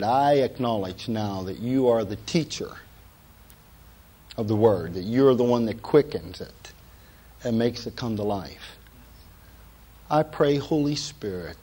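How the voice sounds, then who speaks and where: A hoarse middle-aged man preaching from the pulpit, his voice worn down by a cold. His tone is dark and mellow. He talks in a folksy way, full of asides and "uh" fillers. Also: medium pitch. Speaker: pastor. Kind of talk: sermon.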